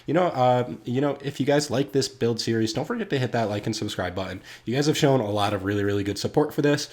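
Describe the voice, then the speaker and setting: A man's voice: medium pitch, quick pace, a little mellow. A man hosting a game build series, talking casually with a stuffy, congested voice and lots of fillers. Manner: rambling, filler-heavy, friendly address to viewers. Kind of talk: casual gameplay commentary.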